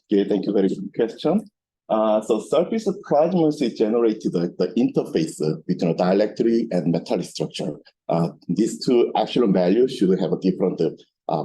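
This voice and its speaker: Man, mellow tone, halting non-native seminar lecturer, repeats phrases, background noise. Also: sits low, animated, low-quality recording. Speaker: lecturer, researcher. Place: seminar room.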